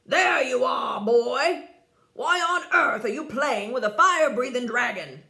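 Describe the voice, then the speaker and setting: A woman's voice: sits high, expressive, measured pace, vocal fry, pushed and bright, heavily acted. A woman voicing a scornful, declamatory knight, a haughty father in a storybook. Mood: scolding. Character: haughty, booming knight father, adult shiny man.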